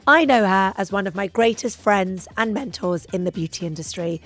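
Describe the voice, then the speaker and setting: A woman's voice: mid-range voice, animated, moderate pace, a bit bright. A woman hosting a beauty podcast, bubbly and teasing while she loses her voice. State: losing her voice. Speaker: podcast host, beauty director. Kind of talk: casual chat.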